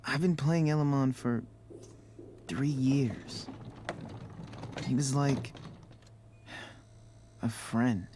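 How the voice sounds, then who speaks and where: A man's voice: medium pitch, extremely slow, long pauses, noisy background. A man playing a shy, earnest young boy in a video game drama. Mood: sad. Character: shy, earnest young boy who loves fantasy games.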